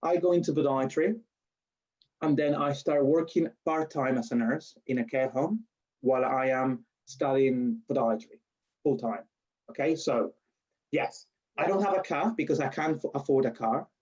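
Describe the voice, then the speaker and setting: A man's voice: mid-range voice, moderate pace, darkish tone, highs cut off. A man from Spain with a strong Spanish accent, chatting in an interview and telling stories with restarts and laughs. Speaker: lecturer being interviewed. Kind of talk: casual interview.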